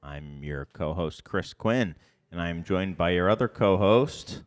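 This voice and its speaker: Man, low voice, casual podcast co-host, rambling, restarts, echoey reverb. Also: animated, unhurried. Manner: rambling, lots of ums and restarts. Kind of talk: casual chat.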